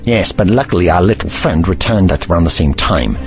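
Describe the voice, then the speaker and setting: Guy acting as older time traveler doc, precise and protective, animated delivery, audio drama w/ music behind. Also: sits low, fairly quick. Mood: measured, wry. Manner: formal, avuncular, precise. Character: the Doctor, older commanding male alien time traveler, protective. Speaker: actor in a sci-fi audio drama.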